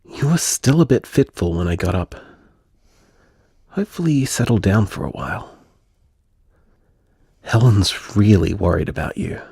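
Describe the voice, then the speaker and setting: A man playing a tender, protective boyfriend in an audio drama, gentle, earnest and reassuring. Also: lowish voice, animated, normal speed, vocal fry, long pauses, slightly rough, dry recording, studio quality, full character voice. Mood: tender, worried. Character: tender, protective boyfriend comforting his hurt partner. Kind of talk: audio drama voicemail monologue.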